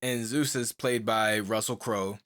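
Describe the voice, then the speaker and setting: A man's voice: lowish voice, fairly even intonation, a little slow, fairly bright tone. A young man co-hosting a podcast, talking in a loose, rambling way with lots of fillers and restarts. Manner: rambling, full of "like" and restarts, laughs often. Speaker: podcast co-host. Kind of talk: casual chat.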